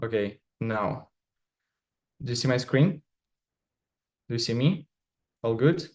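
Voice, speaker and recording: A man from Russia with a Russian accent, a native Russian speaker giving a conference talk in English over a video call, halting and filling his speech with many "uh"s and "like"s. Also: mid-range voice, lively intonation, a little mellow. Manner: halting, many fillers and restarts. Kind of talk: remote conference presentation.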